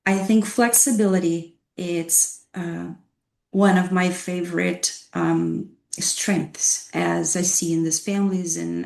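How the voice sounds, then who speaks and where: A woman's voice: on the low side, creaky, a little mellow. Reflective woman counselor in an echoey room. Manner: reflective, rhetorical questions, frequent "right?". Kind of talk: panel discussion. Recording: roomy echoey sound.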